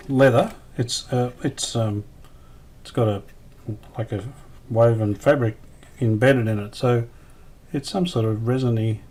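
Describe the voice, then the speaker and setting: A man giving casual hands-on restoration commentary, thinking aloud in a tentative, unhurried way. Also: medium pitch, a little mellow, a little hiss or hum. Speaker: radio restorer presenting a repair series.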